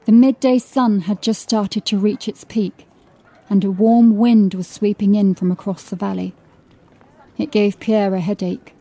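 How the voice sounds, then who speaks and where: A woman narrating scripted horror fiction as a dramatic storyteller, with a mellow voice. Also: mid-range voice, fairly even intonation, a little slow.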